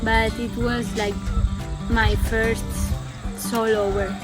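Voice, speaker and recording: 22 yr old spanish girl, spanish accent, halting english, music blasting. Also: on the high side, level tone. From Spain. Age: 20s. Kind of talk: casual interview answers.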